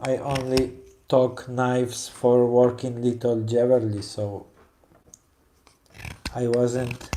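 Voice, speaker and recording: A man's voice: mid-range voice, level tone, slow pace, long pauses, warm tone, a little hiss or hum, low-quality recording. A man from Poland with a Polish accent, a woodcarver who chats while he works and keeps stopping to search for English words. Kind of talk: casual chat while carving.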